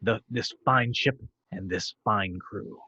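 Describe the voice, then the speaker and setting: Washed-up TV actor, read by a man, irritable, emphatic, bright edgy voice, very dry sound. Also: fairly low pitch, slow, slightly rough, no room sound, lo-fi sound, heavily acted. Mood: heroic. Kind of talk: amateur screenplay table read.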